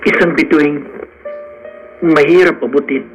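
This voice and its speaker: A man's voice: a little high, fairly even intonation, unhurried, faint music behind, close to an everyday voice. A man acting in a Filipino radio drama as an earnest, poor young suitor, lovelorn and proud, speaking solemnly. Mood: tender, earnest. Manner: heartfelt, solemn declarations.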